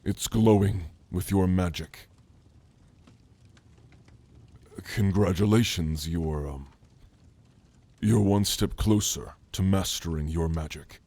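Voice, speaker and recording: A man playing a gentle, scholarly orc mage in a soft, earnest, halting ASMR roleplay, speaking intimately to the listener. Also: low-pitched, no room sound. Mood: bashful, earnest.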